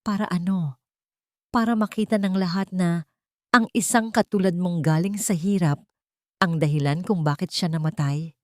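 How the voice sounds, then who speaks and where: A woman's voice: lowish voice, normal speed, long pauses, darkish tone, no echo, studio quality, natural voice. A woman voicing a haughty, sarcastic rich young woman who sneers and taunts, in an audio drama. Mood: contemptuous. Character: haughty, sarcastic wealthy young woman, cruel in-law cousin.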